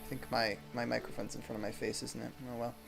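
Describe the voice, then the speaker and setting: Young man, gravelly voice, chatty, self-deprecating, home game stream, game music behind. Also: medium pitch, flat delivery, quick pace. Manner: chatty, self-deprecating, a bit soft-spoken. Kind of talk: casual gameplay chat, reading chat aloud. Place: home room. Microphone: desk microphone.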